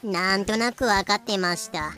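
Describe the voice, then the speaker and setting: A woman's voice: mid-range voice, moderate pace, sharp tone, faint music behind, natural voice. A woman voicing a spirited, cheeky pirate captain in an audio drama, with a youthful, plucky, blunt manner. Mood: sheepish.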